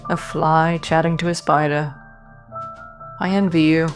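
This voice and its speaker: A woman's voice: sits low, steady tone, a little slow. A woman playing a sly, world-weary and jealous woman in a radio drama, sardonic and condescending. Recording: clear music behind the voice.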